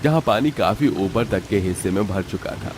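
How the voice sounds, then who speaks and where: A man's voice: fairly low pitch, animated, moderate pace, background noise. A man narrating a scripted, dramatic story.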